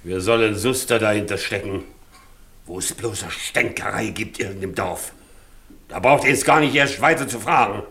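An actor playing an embittered, blunt older farmhand, grumbling in a radio drama. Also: male voice, lowish voice, normal speed, bright, forceful tone, slight background noise. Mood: bitter indignation. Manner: gruff, grumbling, rustic. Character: embittered aging farmhand, coachman, indignant and blunt. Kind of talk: naturalistic radio drama acting.

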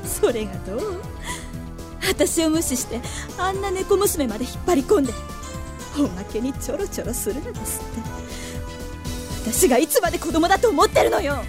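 A woman's voice: high-pitched, big pitch swings, normal speed. A woman playing a proud, jealous, passionate succubus in an audio drama, haughty and emotionally intense. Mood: bitter, hurt. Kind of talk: anime-style audio drama acting. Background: music.

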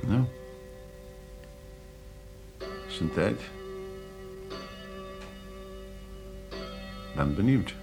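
A smug, scheming lawyer in a radio drama. His Netherlands Dutch is suave and condescending, swinging widely in pitch as he repeats himself with false hospitality. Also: expressive, moderate pace, vocal fry, darkish tone, soft background music. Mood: musing to himself. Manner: suave, hesitant repetitions, condescending. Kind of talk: radio play acting.

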